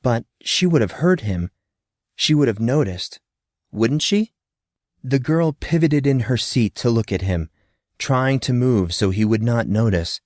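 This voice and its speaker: A man narrating scripted fiction as a storyteller, with a dramatic, expressive delivery. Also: medium pitch, a little slow, no room sound, studio quality.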